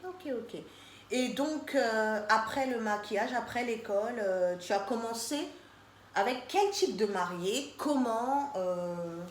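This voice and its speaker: A woman's voice: on the high side, animated, slow. A woman hosting a casual interview show, chatting and prompting her guest with short acknowledgements. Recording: echoey room with faint background noise.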